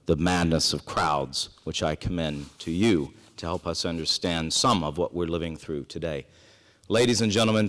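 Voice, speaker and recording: A man from South Carolina giving a formal prepared speech as event host, earnest, emphatic and deliberate. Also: on the low side. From South Carolina, United States. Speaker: event host introducing a speaker. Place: indoor event hall with audience. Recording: echoey hall with long reverb.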